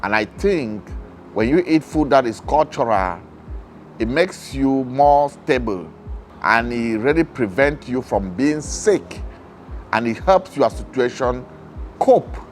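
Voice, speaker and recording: Cameroonian guy talking about his own life in a doc, mid voice, soft music behind. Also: mid-range voice, lively intonation, takes it slowly. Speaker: food bank user. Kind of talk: documentary interview, personal account.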